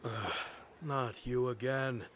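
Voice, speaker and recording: A man's voice: mid-range voice, fairly even intonation, slow pace, background noise, lightly acted. A man playing an irritated, dismissive townsman in a video game. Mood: annoyed. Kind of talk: video game dialogue voice acting.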